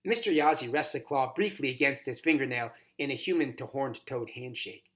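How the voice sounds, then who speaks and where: A man reading a children's novel aloud as a volunteer, narrating in an expressive, dramatized first-person style. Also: mid-range voice, fairly quick. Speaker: volunteer storybook reader.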